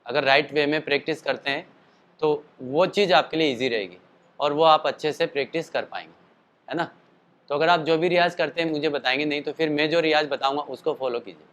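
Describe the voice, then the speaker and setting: A man's voice: on the high side, a little fast, a bit bright. A man teaching singing and voice use in a casual spoken lesson, explaining at length, with a throat tired from a show the night before. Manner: explanatory, chatty, demonstrates calls and sung notes.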